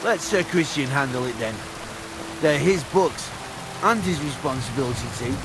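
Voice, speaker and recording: A man's voice: mid-range voice, lively intonation, normal speed, heavy background noise, close to an everyday voice. An actor playing a rude, arrogant medieval mine foreman in a video game. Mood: gruff. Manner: rude and dismissive. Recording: game audio with loud rain and thunder.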